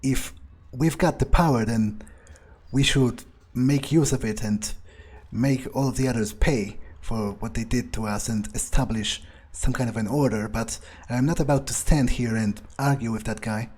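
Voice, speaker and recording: A man's voice: mid-range voice, normal speed, a little mellow, husky, close to an everyday voice. A man playing a resentful, suspicious fledgling vampire, grumbling his thoughts aloud hesitantly in an improvised in-character video game playthrough. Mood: disgruntled. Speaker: gaming video player.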